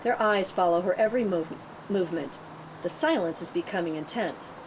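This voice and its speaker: A woman's voice: lowish voice, brisk. A woman reading stage directions as scripted narration at a table read. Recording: clearly noisy room recording.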